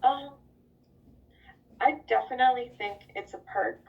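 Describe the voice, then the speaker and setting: A woman's voice: a little high, a little slow, gravelly. A woman from the United States with a slight Spanish accent on some words, chatting through interview answers with lots of fillers, on a video call. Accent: slight Spanish accent on certain words. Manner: relaxed, chatty, many fillers. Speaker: interviewee, employee of a global company. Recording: distant, dull lo-fi sound.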